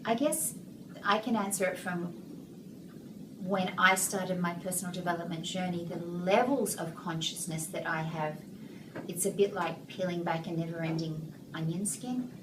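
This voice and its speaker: Soft-voiced Australian woman, roomy and distant. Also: lowish voice, steady tone, normal speed. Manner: gentle, reflective, searching for words. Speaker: guest author. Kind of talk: casual interview chat. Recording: roomy, distant mic with noise.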